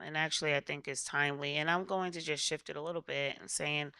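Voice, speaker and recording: Bright, clear-voiced American woman on a panel. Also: low-pitched, no echo. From Connecticut, United States. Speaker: state legislator on a panel. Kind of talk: panel discussion, personal anecdote.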